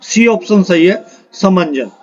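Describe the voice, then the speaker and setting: High-pitched male quiz teacher, dull recording. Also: animated, slow pace, soft background music. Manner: instructional, reads out options then announces the answer. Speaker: exam-prep physics teacher. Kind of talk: quiz lesson, reading questions and options aloud.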